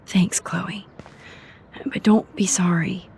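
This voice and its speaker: A woman playing a caring, nervy teenage student photographer in a video game, earnest and gently wry in a naturalistic style. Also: medium pitch, steady tone, slow pace, a little hiss or hum. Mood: tender, supportive.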